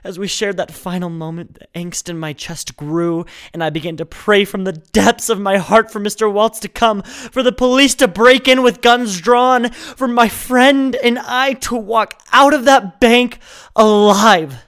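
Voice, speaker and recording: A man acting as a devoted friend left haunted and grief-stricken. His voice sits high for a man, and his delivery is solemn and emotional, in the dramatic style of an audio play. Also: fairly even intonation, measured pace, hardly any pauses, fairly bright tone, clear, resonant tone. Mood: anguished recounting.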